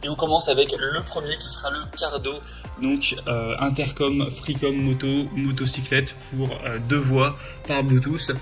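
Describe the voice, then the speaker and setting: A man's voice: mid-range voice, little pitch movement, normal speed, soft background music. A man presenting a product review in a casual, explanatory, conversational way.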